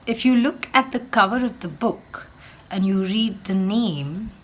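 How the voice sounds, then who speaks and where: A woman teacher reading a picture book aloud to children in a slow, expressive storytelling manner, speaking directly to her young listeners. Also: medium pitch.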